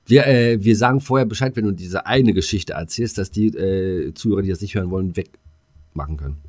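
Low-pitched man, casual podcast co-host. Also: brisk, darkish tone, no echo, clean studio sound. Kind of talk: casual, joking chat.

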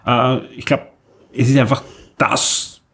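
A man's voice: mid-range voice, flat delivery, slow, a bit bright. A man hosting a podcast in casual chat, speaking emphatically and often repeating words for stress. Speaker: podcast host.

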